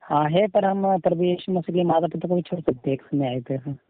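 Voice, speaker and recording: A man on a phone call explaining his view in a halting debate, hesitant and rephrasing as he goes. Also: fairly high pitch, dry recording. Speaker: caller being questioned. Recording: tinny narrow phone line.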